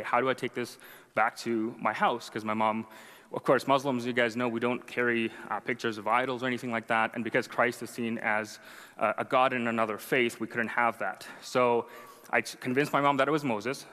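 A man's voice: mid-range voice, fairly quick, fairly bright tone, in a large hall. A young man whose first language is Arabic, telling a personal faith story to an audience in a conversational, self-deprecating way with frequent "right?" asides. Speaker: speaker sharing a conversion testimony.

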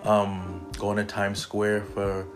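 A man hosts a loose, profane chat and keeps needling his guest. Music plays clearly behind the talk. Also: on the low side, completely flat delivery, slow. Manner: teasing, profane, pushy banter. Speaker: podcast host. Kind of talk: casual chat.

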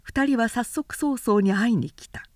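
A woman who works as a narrator reads a literary tale dramatically, in a measured, carefully articulated way. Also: fairly high pitch, darkish tone, dry recording, studio quality. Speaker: professional narrator.

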